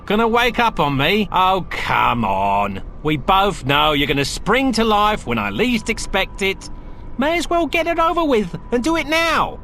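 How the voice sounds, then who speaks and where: A man's voice: sits high, big pitch swings, unhurried, pushed and bright, a little hiss or hum, full character voice. An actor playing a cocky wisecracking male bard adventurer with a sarcastic, mocking manner in a video game cutscene. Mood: musing.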